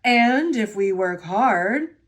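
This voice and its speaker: A woman voicing a stately male railway boss in a children's storybook, measured, formal and authoritative. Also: medium pitch, lively intonation. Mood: firm, approving.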